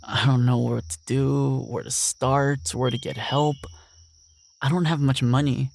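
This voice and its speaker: A gravelly, mid-pitched young man's voice acted by a man as a lonely ranch heir in an audio drama. He is earnest, weary and reflective, over faint background noise. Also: steady tone, unhurried. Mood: lost, weary. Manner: plain, reflective monologue.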